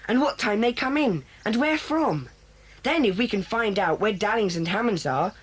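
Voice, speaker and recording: A woman voicing a decisive boy who leads a children's club in an audio drama, briskly taking charge. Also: medium pitch, animated, slight background noise, lightly acted.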